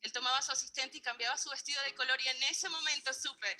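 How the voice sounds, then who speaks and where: A woman's voice: sits high, fairly even intonation, fast talker, heavy background noise. A woman magician from Venezuela telling her own story on stage, stating exact figures with care. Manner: precise, counting out exact figures. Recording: played clip with music behind. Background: music.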